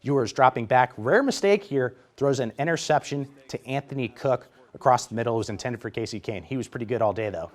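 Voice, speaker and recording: American male sports reporter narrating highlights. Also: expressive, fairly quick, hardly any pauses.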